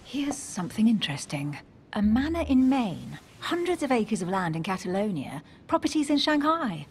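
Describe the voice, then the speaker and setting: A woman's voice: medium pitch, sing-song, normal speed, a little hiss or hum. A woman acting a cool, skeptical, learned young aristocrat in a video game cutscene, with a dry, nonchalant, sardonic delivery. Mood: intrigued.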